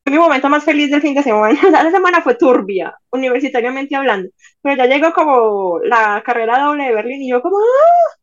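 Casting: young Colombian woman, talkative guest, slightly high voice, dull lo-fi sound. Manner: chatty, animated storytelling. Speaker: podcast guest, Instagram page admin. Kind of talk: casual chat.

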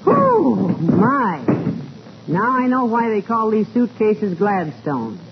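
Homey, drily teasing radio wife: animated vintage comedy delivery, muffled recording with clear noise. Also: female voice, medium pitch, slow pace, full character voice. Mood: wry. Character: good-natured, gently teasing middle-aged wife. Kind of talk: 1940s radio comedy acting.

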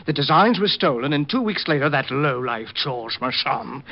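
A prim, haughty hat designer. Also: male voice, fairly high pitch, lively intonation, normal speed. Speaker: client. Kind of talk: 1950s radio drama acting. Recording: muffled old radio broadcast recording.

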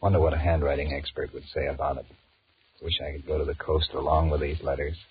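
An actor playing a weary, sullen two-timing American office man in a 1940s radio drama, musing in a deadpan mutter. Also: a bass voice, fast. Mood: weary, grumbling. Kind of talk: 1940s radio drama acting, inner monologue. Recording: muffled, noisy 1940s radio recording.

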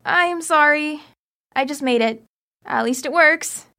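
A woman's voice: sits high, slow pace, bright, forceful tone, heavily acted. A woman playing an earnest teenage girl who pleads for help in an audio drama. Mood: pleading.

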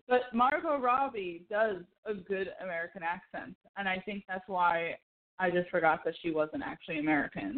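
A woman co-hosting a casual chat show, giving her opinions in an informal, conversational way. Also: on the low side. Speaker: podcast co-host. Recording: thin, phone-like band-limited audio.

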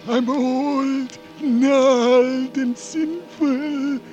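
A woman voicing an ancient, gnarled, sinister talking oak tree in drawn-out, sing-song 1940s radio style. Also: sits high, fairly even intonation. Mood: menacing, gloating. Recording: dull vintage radio recording, music behind.

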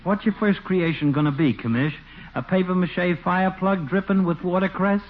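An actor playing a folksy, slangy, needling small-town pal in a 1940s radio comedy, on a thin, noisy old recording. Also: male voice, high voice, normal speed, phone-quality sound, natural voice. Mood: teasing.

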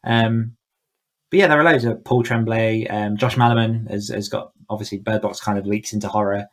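Man, lowish voice, casual interview chat, podcast guest, enthusiastic and effusive delivery. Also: steady tone, moderate pace. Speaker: podcast guest, horror author and coach.

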